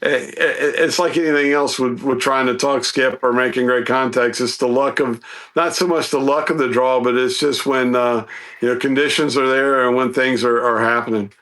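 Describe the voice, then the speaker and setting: A man chatting casually as a podcast guest about his hobby, telling anecdotes in a folksy, animated, rambling way. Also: medium pitch, moderate pace. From United States, Southeastern Virginia.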